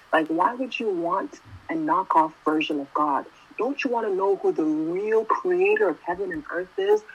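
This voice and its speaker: Husky young woman preaching casually. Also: mid-range voice, poor audio. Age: 20s. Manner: conversational, keeps asking "right?". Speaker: youth preacher. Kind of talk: casual sermon.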